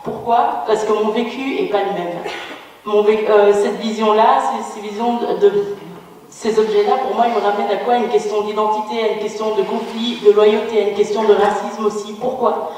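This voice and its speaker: A woman's voice: mid-range voice, fairly even intonation, moderate pace, a little mellow. A woman from Belgium, giving an earnest, faltering speech at a conference, often restarting her phrases. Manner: earnest, searching, many restarts and fillers. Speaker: conference participant. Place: large parliament hall. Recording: reverberant hall, distant mic, faint room noise.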